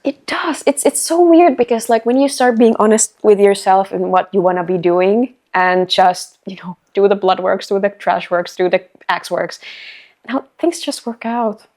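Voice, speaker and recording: A young woman, an artist guest talking in a casual interview, bubbly and quick to giggle. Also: medium pitch, a little mellow. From Finland. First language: Finnish.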